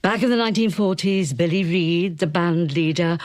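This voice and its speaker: An actress playing a proud, touchy, theatrical older Welsh singing star in a radio play. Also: female voice, on the low side, normal speed, a little mellow, lightly acted. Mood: proud, wistful. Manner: grand, camp, theatrical, self-important.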